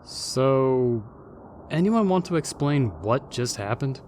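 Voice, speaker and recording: A man's voice: mid-range voice, animated, slow pace, darkish tone, slight background noise. Dry, sceptical ghost, played by a man. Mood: dry, unimpressed. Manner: dry, sardonic.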